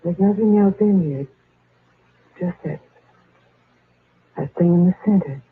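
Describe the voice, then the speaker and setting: An actress playing a curious, sensitive wife in an old-time radio drama. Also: female voice, on the low side, measured pace, slightly rough. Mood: uneasy. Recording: tinny, muffled old radio recording.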